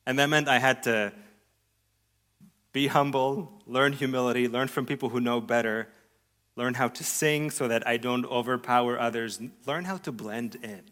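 Guy preaching a sermon, mid pitch, earnest and measured, gets louder on the big lines then trails off quiet. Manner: earnest, measured preaching with sweeping rises and soft trailing endings. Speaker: pastor.

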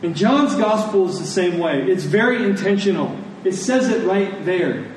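High-voiced male preacher in an echoey room. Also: big pitch swings. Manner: conversational, deliberate, with pauses and repeated phrases for emphasis. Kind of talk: sermon with storytelling and scripture exposition. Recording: echoey room, distant mic, faint noise.

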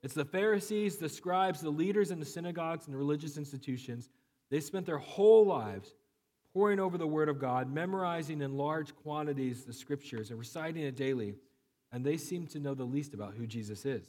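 Man with a cold preaching in a hall. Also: fairly quick. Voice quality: low, monotone voice. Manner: conversational, self-deprecating, with many fillers and restarts. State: has a cold. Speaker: pastor. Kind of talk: sermon.

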